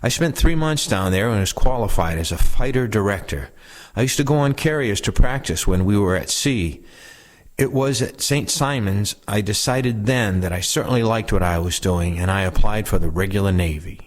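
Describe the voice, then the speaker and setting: A man's voice: on the low side, normal speed. A man reading first-person oral history recollections aloud as scripted narration. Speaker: reader of veterans' recollections.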